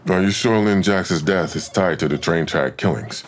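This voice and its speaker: An actor playing a probing, suspicious police detective in an audio drama, with a gravelly voice and a dry delivery. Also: male voice, sits low, moderate pace, a little hiss or hum, close to an everyday voice. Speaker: actor in a fiction podcast. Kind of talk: naturalistic audio drama acting.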